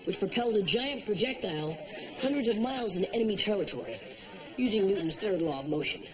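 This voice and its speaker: Secretive, pedantic scientist, audience behind. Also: male voice, high voice, lively intonation, fast, a crowd in the background, low-quality recording. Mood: guarded, pedantic. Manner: pedantic, guarded.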